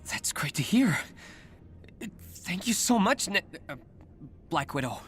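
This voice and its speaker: A man's voice: high voice, very animated, slow pace, fairly bright tone, faint music behind, heavily acted. An actor playing an earnest, anxious young male superhero in a video game, hesitant and a little awkward. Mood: awkward, grateful. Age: young adult. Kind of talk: naturalistic video game voice acting.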